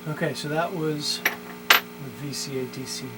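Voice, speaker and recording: A man's voice: on the high side, noisy background. Man thinking aloud at a workbench. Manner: relaxed, thinking aloud, halting with fillers. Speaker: hobbyist synth repairer. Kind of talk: casual narration while working. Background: synthesizer being played.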